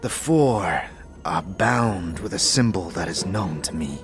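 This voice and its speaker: A man's voice: sits low, animated, takes it slowly. A man playing a stoic, guarded old warrior in a fantasy audio drama, speaking in a terse, formal, grave way. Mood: solemn, resigned. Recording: music under the voice.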